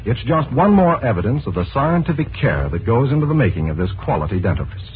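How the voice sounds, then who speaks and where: A man's voice: medium pitch, sing-song, fast talker, slight background noise. A man announcing and reading scripted sponsor copy on 1940s radio, polished and emphatic like a salesman. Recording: tinny narrow-band 1940s radio broadcast.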